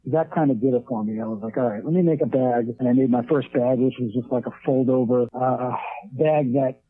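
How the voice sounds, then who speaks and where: A man's voice: medium pitch, fairly quick. A man in his late thirties talking casually in an interview, rambling with many restarts and fillers. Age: 30s. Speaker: leatherwork artist guest. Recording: tinny phone line.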